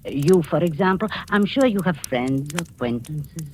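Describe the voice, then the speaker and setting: A woman's voice: low-pitched, lively intonation, measured pace. An actress playing a domineering, sinisterly sweet woman of sixty in 1940s radio style, coaxing and commanding. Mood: quietly sinister. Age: 60s. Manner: coaxing, commanding, with soft knowing chuckles. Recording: noisy old 1940s radio recording.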